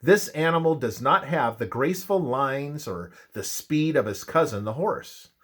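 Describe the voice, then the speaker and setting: A man narrates a scripted Bible devotional. His delivery is slow and measured, with a lively, varied intonation. He phrases each line deliberately, as a reader of religious study material. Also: mid-range voice.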